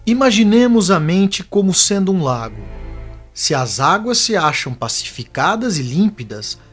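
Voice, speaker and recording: A solemn elder spirit mentor, played by a man with a Brazilian accent, counsels formally in an audio novel. The voice sits fairly high for a male. Music runs underneath the teaching. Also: sing-song, unhurried, a bit bright, exaggerated voice. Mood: solemn, instructive. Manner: formal, didactic. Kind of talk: scripted audio novel acting.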